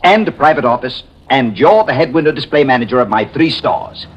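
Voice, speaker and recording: An actor playing a jovial, money-minded department store boss, expansive and emphatic, in 1950s radio drama style. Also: male voice, medium pitch, animated, fairly quick, breathy edge. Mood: jubilant, blustering. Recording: noisy, muffled vintage radio.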